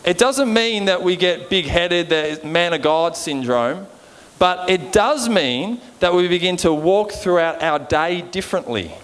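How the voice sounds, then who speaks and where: A man's voice: high-pitched, expressive, unhurried, fairly bright tone. An Australian man preaching an informal sermon in a conversational way, often checking in with his listeners for agreement. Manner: conversational, seeks agreement with frequent rhetorical check-ins. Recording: long hall reverb.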